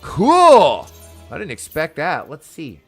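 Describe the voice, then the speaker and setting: An excitable, enthusiastic man giving casual, exclamatory live commentary as he plays an online role-playing game. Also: a little high, slow pace, breathy edge, faint music behind. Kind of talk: live gameplay commentary, casual chat to viewers.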